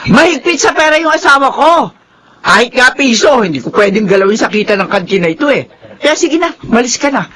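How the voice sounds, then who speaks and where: A woman's voice: fairly high pitch, animated, fairly quick. A woman playing a harsh, dismissive middle-aged mother in a Filipino radio drama. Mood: cold, dismissive. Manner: sharp, dismissive. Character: harsh, rejecting mother guarding her own life.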